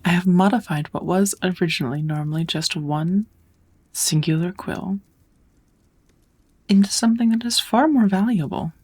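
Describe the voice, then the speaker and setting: A woman playing a kind, patient witch who teaches magic in an ASMR roleplay, speaking softly and often in a whisper, in a gentle, measured, encouraging way. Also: fairly low pitch. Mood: patient, explaining. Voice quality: soft, breathy, often whispered.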